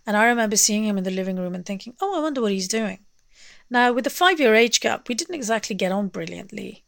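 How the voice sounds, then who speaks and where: A woman's voice: medium pitch, expressive, fast, gravelly, no echo, studio quality. A woman telling personal memories conversationally, leaning on key words as she goes. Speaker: interview guest, singer. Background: music.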